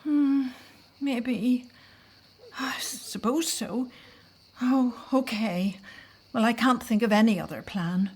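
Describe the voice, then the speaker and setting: An actress in a scripted audio drama playing a frightened Hebrew mother, hesitant and often hushed as she talks to her husband. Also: fairly high pitch, slow, long pauses, slightly rough, full character voice. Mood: doubtful, relenting.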